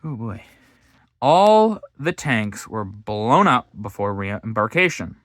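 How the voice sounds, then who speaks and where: Man reading history aloud, halting. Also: on the low side, sing-song, a bit bright, dry recording, clean studio sound. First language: English. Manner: halting reading with fillers and self-corrections. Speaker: history book reader and commentator. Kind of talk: reading aloud a history book with casual commentary.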